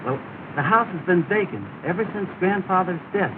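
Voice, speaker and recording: A man's voice: sits high, lively intonation, normal speed. Polite, earnest young man, respectful early-talkie delivery on a thin, noisy soundtrack. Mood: earnest, hopeful. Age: young adult. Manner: respectful, sincere. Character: earnest, polite, affable young gentleman newly arrived north. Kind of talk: early 1930s film acting. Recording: noisy, tinny 1930 film soundtrack.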